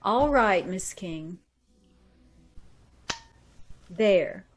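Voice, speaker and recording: A woman's voice: mid-range voice, lively intonation, slow pace, slight background noise. A woman playing a nervous, polite young job seeker in an old-time radio horror play, hesitant and stammering. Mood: nervous politeness.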